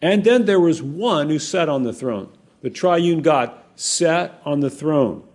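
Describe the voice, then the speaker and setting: Husky, mellow-voiced man teaching scripture. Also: a little high, slow. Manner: casual and animated, with frequent restarts and asides to the congregation. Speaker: pastor. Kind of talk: conversational verse-by-verse Bible teaching.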